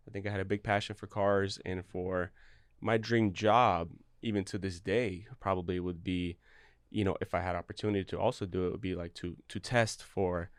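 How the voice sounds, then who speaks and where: A young man from Ukraine talks in a low register with a smooth, clean tone. He speaks earnestly, often restarting his thoughts. The sound is dry and close. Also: dry recording. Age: young adult. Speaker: guest, real estate broker. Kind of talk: casual interview talk.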